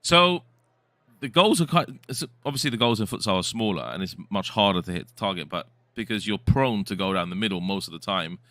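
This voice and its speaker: Male stream host, very deep bright voice, casual chatty delivery. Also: lively intonation, brisk, dry recording. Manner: chatty, reads viewer comments aloud. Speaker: host and co-commentator. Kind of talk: casual live stream commentary.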